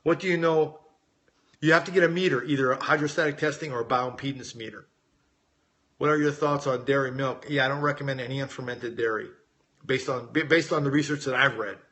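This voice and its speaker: A man's voice: medium pitch, steady tone, a little fast, fairly bright tone. A middle-aged American man in a casual live-stream chat, reading out viewer questions and answering them informally as a coach. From United States, grew up in Las Vegas. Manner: informal, conversational, addresses viewers directly. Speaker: weight-loss coach hosting a live Q&A.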